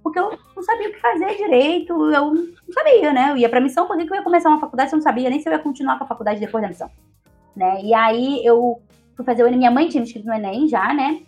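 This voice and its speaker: A Brazilian woman in her twenties, a guest telling her own story in a relaxed, chatty way. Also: high-pitched, rapid-fire, soft background music. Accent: Brazilian Portuguese. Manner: chatty, leans on tag questions. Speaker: interview guest. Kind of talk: casual personal storytelling.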